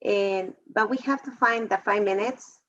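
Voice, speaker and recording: A woman's voice: medium pitch, steady tone. Woman teacher on a call. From Mexico. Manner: warm, emotional, halting phrasing. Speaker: fourth grade teacher. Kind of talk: video call discussion. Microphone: video call. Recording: dull lo-fi video call audio.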